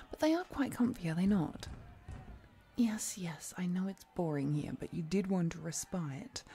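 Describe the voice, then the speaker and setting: A woman's voice: medium pitch, dark tone, slightly rough. A woman playing an affectionate, playful demon guide through hell in a fantasy audio roleplay, chatty, coaxing and protective toward the listener. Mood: relaxed, wry. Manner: coaxing, chatty, protective, with pet names and small hesitations.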